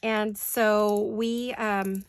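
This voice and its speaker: A woman's voice: medium pitch, flat delivery, very slow. A middle-aged woman rambling chattily while she works on paper crafts in her home studio, joking at her own expense. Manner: rambling, self-deprecating, talks while working. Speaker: craft vlogger.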